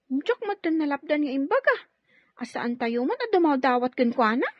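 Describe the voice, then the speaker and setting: A woman's voice: high-pitched, big pitch swings, normal speed, no echo. A woman acting a hurt, dutiful young child from a poor family in an Ilocano audio drama. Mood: hurt, pleading. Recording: dull, lo-fi dry recording.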